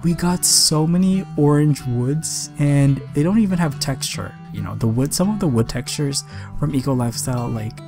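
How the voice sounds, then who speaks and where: Chatty, slightly raspy young man over guitar music. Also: a little high, normal speed, darkish tone. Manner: chatty, enthusiastic. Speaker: gaming video creator. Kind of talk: casual voice-over commentary on a game speed build.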